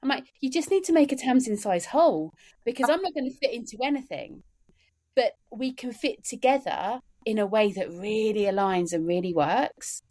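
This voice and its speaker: A woman's voice: fairly high pitch, expressive, normal speed, dark tone. A woman guest on a podcast, speaking about workplaces in an earnest, emphatic way, repeating words and using "you know" often. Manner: earnest and emphatic, with many repetitions and fillers. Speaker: podcast guest, neurodiversity trainer. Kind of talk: conversational podcast interview.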